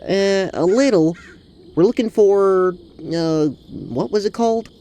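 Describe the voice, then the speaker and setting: A man's voice: high voice, takes it slowly, dark tone, a little hiss or hum. A man voicing a small, easygoing goat-man monk in a tabletop audio drama, talking casually with some hesitation.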